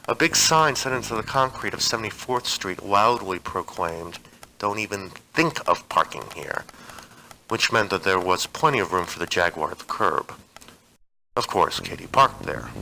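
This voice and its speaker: A man narrates fiction in a low register with a bright, forward edge to his voice. He reads scripted prose in the manner of an audiobook. Clear background noise runs under the recording. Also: low-quality recording. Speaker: narrator reading fiction aloud.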